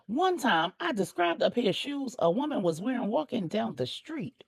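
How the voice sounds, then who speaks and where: A woman's voice: mid-range voice, darkish tone, slightly rough, dry recording, voice pushed up. A woman voicing a sassy, bold young college student in love, feisty, demanding and playful, for a story.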